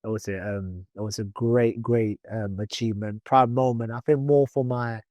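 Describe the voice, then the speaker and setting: Relaxed man with dry close sound. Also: lowish voice, normal speed, dry recording. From born in England, raised in Ghana. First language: Twi. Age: 30s. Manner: chatty, loose, many "you know" fillers and restarts. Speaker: footballer guest. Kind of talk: casual interview.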